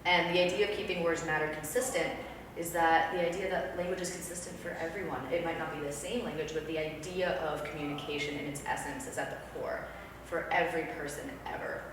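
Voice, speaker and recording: A woman's voice: deep voice, fairly even intonation, a little fast. A woman guest answering interview questions about her project in an earnest, reflective manner, recorded from a distance in a room. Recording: echoey room from far off, noisy.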